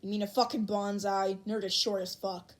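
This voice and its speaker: A woman's voice: mid-range voice, little pitch movement, moderate pace, close to an everyday voice. A woman voicing a foul-mouthed, irritable teenage boy in a fan fiction audiobook, with a blunt, snapping delivery. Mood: irritated.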